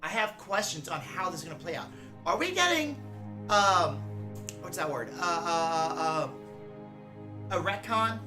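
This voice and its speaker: A reaction video host giving casual, flippant, animated commentary in an informal way. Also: mid-range voice, unhurried, long pauses, over music. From the frozen north.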